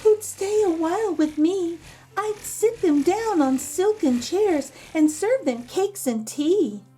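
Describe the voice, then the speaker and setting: A lonely little spider hostess, played by a woman reading a storybook, speaks in a high, swooping voice. She is sweet and imploring, drawing out her plaintive words slowly. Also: animated, dark tone, heavily acted, rough recording. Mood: wistful.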